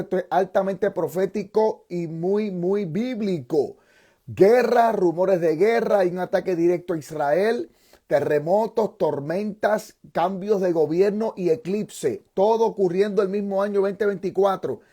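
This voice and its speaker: A man's voice: high-pitched, normal speed, warm tone. A man who preaches fervently with repeated praise phrases, then hosts an interview as a pastor.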